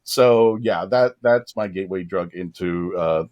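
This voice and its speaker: A man's voice: low voice, unhurried, darkish tone. Enthusiastic man in fan chat. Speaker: podcast panelist.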